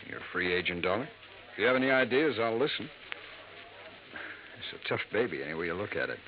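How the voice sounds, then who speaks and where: Low-voiced weary police lieutenant, noisy recording. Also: male voice, sing-song, quick pace. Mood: weary, matter-of-fact. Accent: American. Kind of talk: 1950s radio drama acting. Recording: muffled, noisy vintage radio recording.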